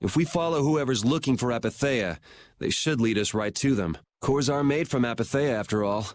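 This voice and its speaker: A man's voice: medium pitch, normal speed, close to an everyday voice. An actor playing a pragmatic young swordsman hero in a video game, laid-back and matter-of-fact.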